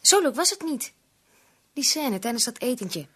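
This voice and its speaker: An actress playing a worried, insistent young bride-to-be pleading as a daughter in a radio play. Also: female voice, a little high, sing-song, moderate pace, a bit bright, husky, exaggerated voice. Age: young adult.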